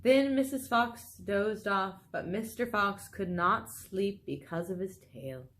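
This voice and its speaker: A woman reading a children's story aloud in a dramatic, expressive way. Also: mid-range voice, slow pace. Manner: animated, dramatic, with exclaimed asides to listeners. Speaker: storybook reader.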